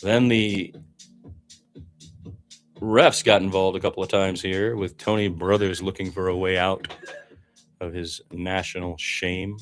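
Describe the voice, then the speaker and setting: A man with a low voice works as a basketball play-by-play commentator over music. He calls the game off the cuff and adds his own opinionated, reflective commentary. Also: little pitch movement, unhurried, long pauses.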